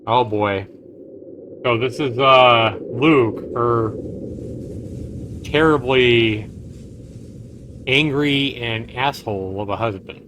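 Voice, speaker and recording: A man's voice: medium pitch, slow pace, long pauses. A man livestreaming, reading game text aloud like a dramatic storyteller, with casual asides. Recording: clear background noise on stream.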